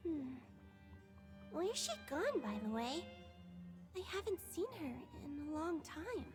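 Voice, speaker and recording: A woman's voice: sits high, very animated, slow pace, over music, heavily acted. A woman voicing a sweet, forgetful, childlike little creature in a video game, with innocent, simple phrasing. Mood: dreamy, puzzled. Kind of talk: scripted video game dialogue.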